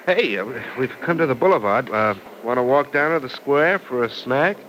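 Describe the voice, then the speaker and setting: A man's voice: medium pitch, expressive, normal speed, fairly bright tone, slight background noise, natural voice, poor audio. An actor playing an impatient young man in love who stammers and repeats words, in 1940s radio acting style. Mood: affectionate.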